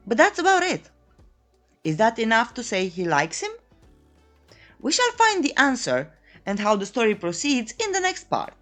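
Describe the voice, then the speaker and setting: A woman narrates a comedic recap with extremely animated pitch that leaps up and dips down constantly. Her delivery is playful and theatrical, like scripted storytelling with a tongue-in-cheek edge. Music plays behind her voice. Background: background music.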